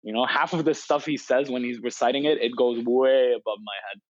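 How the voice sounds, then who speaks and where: A man's voice: medium pitch, brisk, no echo, highs cut off. A man in his twenties, a guest on a podcast, talking openly and reflectively with many "you know" fillers. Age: 20s. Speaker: podcast guest, poet and influencer. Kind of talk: casual interview chat.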